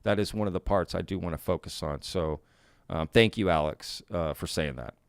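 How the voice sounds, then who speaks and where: Casting note: relaxed male stream host, low-pitched and a bit gravelly, folksy y'all-heavy chat, close dry mic. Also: normal speed, dry recording. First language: bilingual Spanish and English. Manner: laid-back, folksy, says y'all often. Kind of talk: casual live Q&A, reading chat comments aloud.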